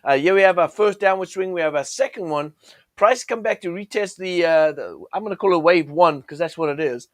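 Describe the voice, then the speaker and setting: A man with a cold talks through trading charts in a loose, chatty way, stumbling over fillers and restarts. His voice sits fairly high and sounds a little croaky. The recording is dry and close. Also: expressive, a little fast, no room sound. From United States. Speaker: forex trading show host. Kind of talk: casual chart commentary.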